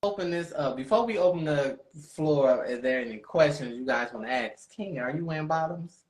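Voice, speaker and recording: A man's voice: fairly high pitch, lively intonation, fairly quick, darkish tone. A man hosting a casual podcast chat, speaking in a hesitant, guarded way. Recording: echoey room.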